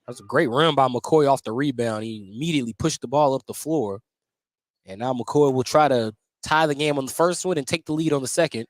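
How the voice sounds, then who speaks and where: An American man doing live play-by-play basketball radio commentary, animated on big plays and conversational in between. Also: medium pitch, brisk, no echo. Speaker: play-by-play sports announcer. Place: indoor basketball gym during a game. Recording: clearly noisy gym background.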